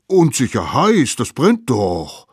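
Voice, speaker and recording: A man's voice: medium pitch, wildly swooping pitch, takes it slowly, breathy edge, dry recording, clean studio sound, voice pushed up, exaggerated voice. A man voicing a big, eager, naive mammoth that talks in an earnest, bewildered way. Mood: worried.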